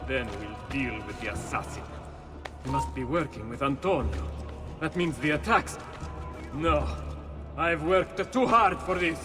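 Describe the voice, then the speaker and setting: An actor playing an arrogant, scheming merchant lord in a video game cutscene, haughty and frantic by turns. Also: male voice, a little high, unhurried, music in the background, close to an everyday voice. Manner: haughty, grows frantic and shouting. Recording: game audio with score underneath.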